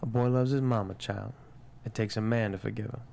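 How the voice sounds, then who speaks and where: This is a man performing an older guardian in a drama read aloud. The voice is creaky and gravelly. The character is stern, with tenderness underneath. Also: on the low side, fairly even intonation, brisk, mellow. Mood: tender, grave. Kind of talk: table read of a screenplay.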